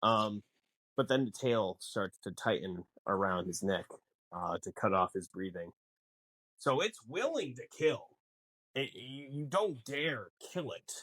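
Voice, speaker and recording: A man co-hosting a podcast, explaining a film casually in a halting, conversational way. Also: medium pitch, fairly bright tone, no room sound, poor audio. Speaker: podcast co-host.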